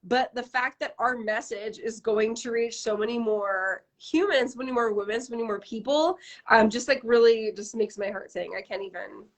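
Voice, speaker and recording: Bubbly, gushing woman, slightly high voice. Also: normal speed. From Canada. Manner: bubbly, gushing, many "like" fillers. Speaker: brand founder guest. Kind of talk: casual interview chat.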